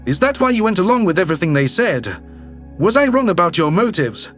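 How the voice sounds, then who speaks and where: A man's voice: high voice, big pitch swings, fairly bright tone, soft background music. A man voicing a shifty, self-centered alien governor in a sci-fi audio drama, speaking formally and curtly. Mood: guarded, insistent. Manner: formal, measured, curt. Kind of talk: science fiction audio drama acting.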